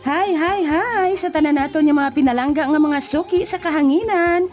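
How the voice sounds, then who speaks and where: A woman's voice: high-pitched, moderate pace, a little mellow. Effusive Western Visayan woman announcer over music. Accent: Western Visayan Filipino. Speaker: radio host. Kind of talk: upbeat radio programme introduction.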